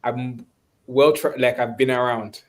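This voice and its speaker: A Nigerian man speaking reflectively and conversationally in an online webinar, often pausing and restarting as he searches for words. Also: mid-range voice, fairly even intonation. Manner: thoughtful, halting, searching for words. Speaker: webinar speaker, course instructor.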